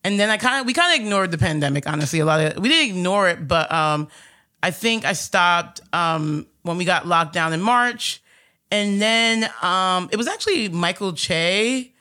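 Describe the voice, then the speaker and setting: A woman stand-up comedian from New with a deep, raspy voice, chatting casually in an interview with lots of fillers and restarts. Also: expressive, normal speed, pushed and bright. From Astoria, New York. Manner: rambling, many fillers and restarts.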